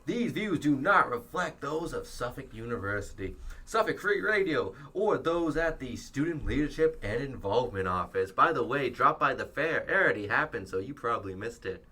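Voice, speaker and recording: Bright-voiced young man hosting. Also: medium pitch, big pitch swings, slightly rough. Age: young adult. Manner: rambling, jokey, quick laughs. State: losing his voice from illness. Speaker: podcast host. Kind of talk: casual student podcast chat. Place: small college radio studio.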